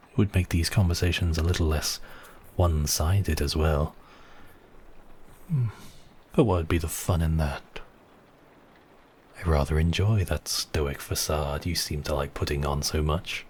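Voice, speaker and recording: Casting: male actor for a possessive vampire count, very low-voiced and teasing, ASMR roleplay, faint ambience behind. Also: darkish tone, slight background noise. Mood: probing, playful. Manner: silky, teasing, drawn-out phrasing with sighs and pauses. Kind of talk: intimate ASMR roleplay acting.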